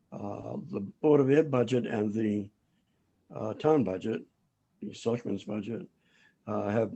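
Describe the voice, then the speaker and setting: A hoarse man chairing a town board meeting, speaking haltingly with many pauses and restarts. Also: fairly low pitch, mellow, muffled recording.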